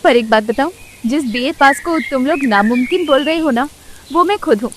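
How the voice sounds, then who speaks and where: A woman's voice: high-pitched, lively intonation, moderate pace, a little hiss or hum. A woman voicing a self-assured samosa seller. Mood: confident.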